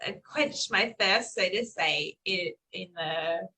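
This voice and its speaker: A young woman with an Australian accent tinged by South Africa, talking in a clear, bright and forceful voice. She chats loosely and laughs often as a guest on a video call. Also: fairly low pitch, takes it slowly, slight background noise. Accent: Australian with South African influence. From Perth, Western Australia. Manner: chatty, laughs often, frequent fillers. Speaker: interview guest. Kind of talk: casual conversational interview.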